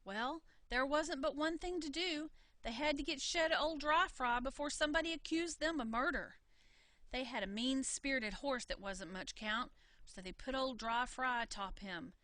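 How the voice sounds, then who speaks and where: A woman reading a folksy tale in an animated storytelling style, full of country dialect. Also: high-pitched, normal speed, dry recording. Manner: folksy, dialect-laden telling. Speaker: storybook reader.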